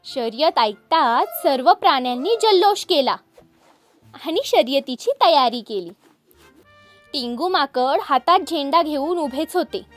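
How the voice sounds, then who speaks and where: A woman narrating a scripted fable for children, expressive and speaking directly to her young listeners. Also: sits high, a little slow, soft background music. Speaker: children's storyteller.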